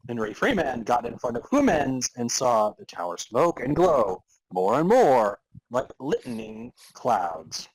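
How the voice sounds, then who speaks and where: A man's voice: mid-range voice, sing-song, slow pace, gravelly, breathy edge, dry recording, low-quality recording. A man narrating a comic story in a theatrical way, prone to breaking into laughter. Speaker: narrator and podcast host. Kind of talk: dramatic reading of comic fan fiction.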